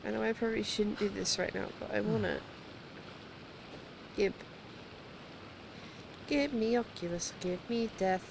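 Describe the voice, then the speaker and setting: A woman's voice: mid-range voice, unhurried, creaky, long pauses, noisy background. A woman game streamer giving casual live commentary with wry, slangy asides. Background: game music.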